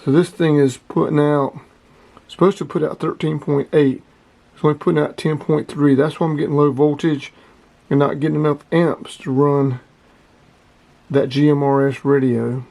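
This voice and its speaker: A man's voice: on the high side, fairly even intonation, normal speed, gravelly, warm tone. A man casually demonstrating gear as a hobby vlogger, explaining things in a plainspoken way.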